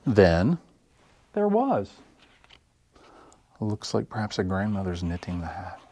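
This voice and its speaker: A man hosting a story time for young children, reading aloud with deliberate, simple phrasing. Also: fairly low pitch, wildly swooping pitch, warm tone, dry recording. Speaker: library story time host.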